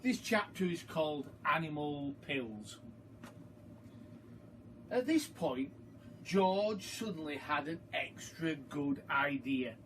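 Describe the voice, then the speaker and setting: A man reading a story aloud to children like a primary school teacher, expressive, deliberate and emphatic. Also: a little high, a little hiss or hum, rough recording. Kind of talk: storytime read-aloud narration.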